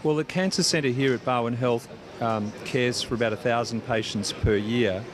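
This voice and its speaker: Male doctor explaining amid clear background noise. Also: medium pitch, normal speed. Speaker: cancer doctor. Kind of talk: explanatory news interview answers.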